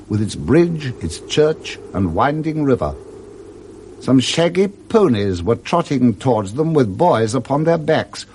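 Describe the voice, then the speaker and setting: A man narrating a literary tale for 1960s radio drama in a theatrical, expressive storytelling manner. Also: fairly high pitch.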